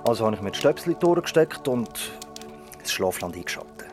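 A male actor plays a young man in a radio drama, sleepless and embarrassed in front of friends. His mid-pitched voice moves in wide, lively swings and he sounds natural and defensive. Music runs behind him. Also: quick pace, natural voice. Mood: subdued, confiding. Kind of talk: naturalistic radio play dialogue.

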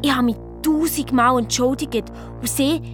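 A woman playing an anxious, excitable young girl detective with a Swiss German accent, telling her adventure in a children's radio play. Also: sits high, normal speed, natural voice. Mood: anxious, excited. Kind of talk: first-person children's radio play narration. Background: music.

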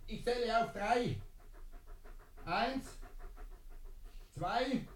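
A man's voice: very high voice, expressive, extremely slow, echoey room, distant mic, a little hiss or hum, heavily acted. A man playing a stern, threatening policeman in a radio play. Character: stern policeman giving a last warning.

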